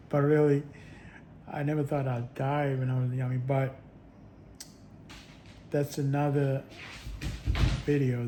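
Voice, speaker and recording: Halting, rambling man vlogging. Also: medium pitch, slight background noise. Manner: halting, with many restarts and stray chuckles. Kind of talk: casual rambling monologue.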